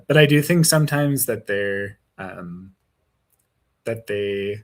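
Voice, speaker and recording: A man calls into a live stream with a fairly low voice. He talks tentatively, stopping often to search for his words with plenty of fillers. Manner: hesitant, lots of fillers, tentative. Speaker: caller. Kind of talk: casual discussion.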